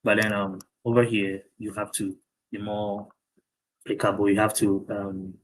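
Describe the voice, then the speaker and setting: A young man with a Ghanaian accent, a doctoral student being interviewed over a video call, speaking thoughtfully with many pauses and ums. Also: fairly low pitch, level tone, slight background noise, poor audio.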